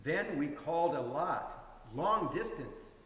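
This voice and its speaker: A man's voice: fairly high pitch, expressive, slow pace, a bit bright. A man narrating a story aloud in a dramatic reading. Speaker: reader in a church staff dramatic reading. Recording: echoey hall, distant mic.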